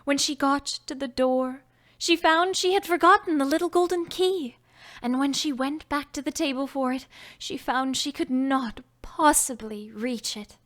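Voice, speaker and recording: A woman reading a children's classic aloud as an audiobook, gentle and expressive, sometimes dropping to a whisper. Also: sits high, a little slow. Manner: gentle, expressive storytelling, drops to whispers at times. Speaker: audiobook narrator. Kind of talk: audiobook narration.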